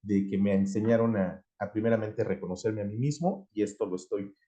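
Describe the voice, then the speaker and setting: A man, a school director giving a slow, earnest presentation with halting restarts. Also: fairly low pitch, dark tone. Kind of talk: presentation at online event.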